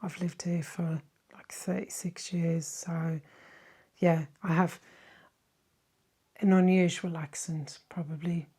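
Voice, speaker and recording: A middle-aged woman with a softened Irish accent, chatting casually as she answers viewer questions. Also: on the low side, flat delivery, takes it slowly, long pauses, slightly rough. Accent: Irish, softened by decades in southern England. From Ireland. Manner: chatty and warm-hearted, many "um"s and tag questions. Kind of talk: casual Q&A chat.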